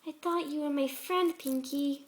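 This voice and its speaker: A woman voicing a sweetly menacing female pegasus pony in a story reading, gentle and eagerly coaxing. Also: high voice, steady tone, a little slow, pitched above the performer's own voice. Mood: wounded, pleading.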